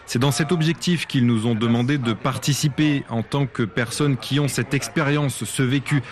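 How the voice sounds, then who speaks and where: A man's voice: medium pitch, normal speed. A man reading the translated words of an interviewee as a radio voice-over.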